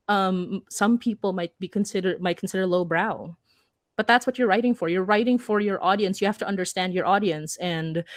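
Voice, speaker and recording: A woman's voice: medium pitch, no room sound, clean studio sound. A woman talking casually on a panel in an emphatic, giggly, stop-start way. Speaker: panelist, audio drama and comics creator. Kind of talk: casual, animated panel talk.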